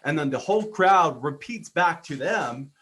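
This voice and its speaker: A man's voice: a little high, expressive, normal speed, a bit bright, husky. A man in his thirties, from Texas, hosting a motivational podcast in an earnest, informal way with many filler ums and repeated words. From Texas, United States. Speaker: podcast host. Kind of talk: motivational personal monologue.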